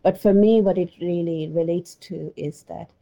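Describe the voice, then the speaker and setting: Middle-aged woman academic from Sri Lanka, low and very dark voice, hesitant with restarts. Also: steady tone, normal speed, gravelly. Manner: hesitant, many restarts and fillers. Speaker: anthropologist guest. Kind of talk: interview answers.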